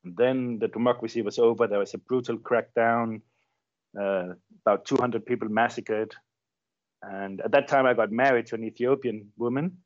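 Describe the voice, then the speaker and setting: A man's voice: medium pitch, steady tone, normal speed, no echo, highs cut off. A middle-aged Danish-born journalist, a man, giving a thoughtful interview and repeating words as he searches for the right phrasing. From Denmark. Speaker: journalist guest. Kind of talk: interview conversation.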